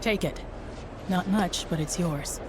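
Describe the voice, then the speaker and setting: An actress playing a shrewd, unapologetic town fixer in a video game, speaking matter-of-factly in clipped phrases. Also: female voice, lowish voice, slow pace, noisy background. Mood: calm, frank. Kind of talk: video game cutscene acting.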